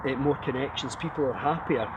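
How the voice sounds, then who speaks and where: A man with a fairly high voice explains things conversationally as an interview guest. He often restarts and repeats words as he goes. He is some way from the microphone, with very loud traffic-like noise around him. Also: quick pace, highs cut off. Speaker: guest speaker and author. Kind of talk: casual interview answers, explaining science. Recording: far from mic, loud outdoor traffic noise.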